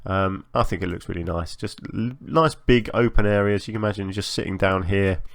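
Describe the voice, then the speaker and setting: A man giving casual, chatty commentary as host of a gaming channel, a little hoarse from a long recording session, hesitant and self-effacing. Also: sits low, a little fast, dry recording. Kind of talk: casual game build commentary.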